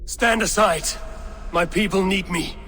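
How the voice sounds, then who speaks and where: An actor playing a defiant, proud Viking warrior chief who answers in curt refusals in a video game cutscene. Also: male voice, sits high, measured pace, faint music behind. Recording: loud orchestral game score behind.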